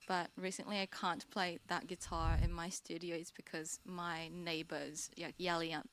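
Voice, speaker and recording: A woman musician chatting as a guest, talking haltingly and searching for words with frequent giggles. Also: fairly low pitch, level tone, fairly bright tone, a little hiss or hum. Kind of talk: casual interview chat.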